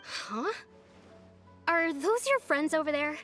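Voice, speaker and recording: A gentle young village woman with a high, sweet voice. She speaks very slowly and hesitantly, trailing off, over soft game music. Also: animated, heavily acted. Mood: wistful. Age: young adult.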